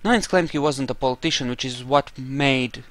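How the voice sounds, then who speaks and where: A man giving casual role-playing game commentary, haltingly thinking aloud. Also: mid-range voice, a bit bright, dry recording. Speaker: gameplay narrator.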